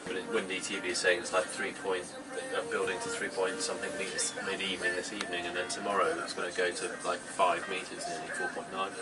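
An Englishman in his thirties chats casually as a sailor on a boat. His voice is fairly deep, recorded on a camera microphone under loud music. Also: monotone, quick pace, few pauses. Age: 30s. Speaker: sailor on vlog. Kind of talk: casual chat. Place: aboard a small sailing boat. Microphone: camera microphone at short distance.

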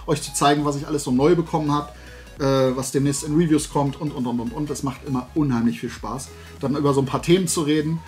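A man, chatting to camera in a casual, opinionated, rambling and emphatic way as a video host. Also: fairly high pitch. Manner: animated rambling, colloquial, emphatic. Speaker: YouTube host of a toy collecting channel. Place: small indoor room lined with shelves of toys.

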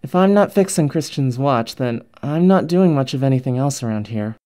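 A woman's voice: extremely low pitch, animated, normal speed, dark tone, no echo. A woman playing a naive, awkward young tinkerer in a comic dub, casual and hesitant. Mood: matter-of-fact. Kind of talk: comic dub narration and dialogue.

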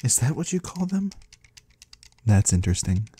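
A man playing a mysterious, courteous disembodied trader of the void in a soft-spoken ASMR roleplay, husky and breathy, slow and gently curious. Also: mid-range voice, sing-song, slight background noise, close to an everyday voice. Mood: curious, pleased. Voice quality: husky, breathy, sometimes whispering. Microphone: close, dry microphone.

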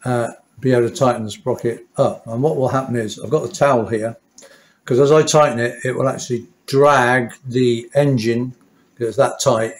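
Mature male mechanic, medium pitch, casual hands-on explainer delivery with wry, self-mocking humour. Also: a little mellow. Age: middle-aged or older. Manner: chatty, self-deprecating, wry asides. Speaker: motorcycle mechanic and host. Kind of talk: casual hands-on workshop tutorial. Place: small indoor workshop at a workbench.

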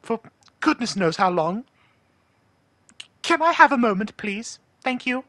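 A man voicing a doting, burdened owl demon prince, earnest and halting, in a cartoon-style monologue. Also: very high voice, animated, a bit bright, no echo, voice pushed up, full character voice. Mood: anguished, pleading.

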